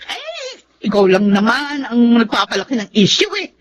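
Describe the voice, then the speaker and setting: Testy, stubborn wife. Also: female voice, a little high, wildly swooping pitch, normal speed. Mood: defensive. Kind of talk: Tagalog radio drama acting.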